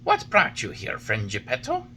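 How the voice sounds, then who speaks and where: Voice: mid-range voice, wildly swooping pitch, normal speed, bright, forceful tone, slight background noise. A reader voicing a touchy little old male carpenter who talks in a squabbling, defensive way. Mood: wry.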